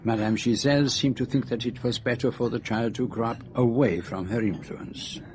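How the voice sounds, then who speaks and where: An actor playing a proud, middle-aged Belgian detective in a radio drama, with a French-speaking Belgian accent and a formal, deliberate manner. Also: male voice, mid-range voice, a little mellow, slight background noise, natural voice. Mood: intent. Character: precise, proud Belgian detective, brooding then urgent.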